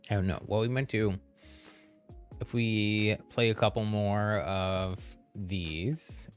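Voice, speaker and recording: Low-voiced man chatting on a game stream. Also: fairly even intonation, slow pace, faint music behind. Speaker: live streamer. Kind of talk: casual game livestream chat.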